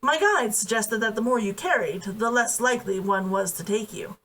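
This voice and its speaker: A woman voicing a courteous, guarded male adventurer in a storybook reading, with measured phrasing. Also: a little high, a bit bright, slight background noise, lightly acted. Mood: earnest. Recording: voice distant from the mic.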